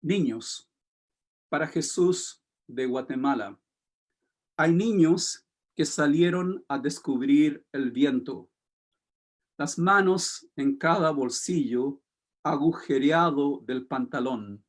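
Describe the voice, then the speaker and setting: Slow, soft-spoken man with a Spanish accent. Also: on the high side. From Chile. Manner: slow, hesitant, many fillers, soft-spoken. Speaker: poet, interview guest. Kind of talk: casual interview, reading poetry aloud.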